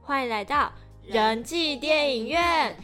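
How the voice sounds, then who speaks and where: A woman's voice: high-pitched, slow pace, a bit bright. A young woman chats casually as a podcast co-host. Faint music plays behind her. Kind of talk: casual chat.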